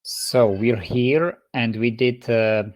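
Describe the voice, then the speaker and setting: A man's voice: mid-range voice, slow pace. A man with a Czech accent giving an online university lecture over a video call, stopping and restarting often with many fillers. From Czech Republic.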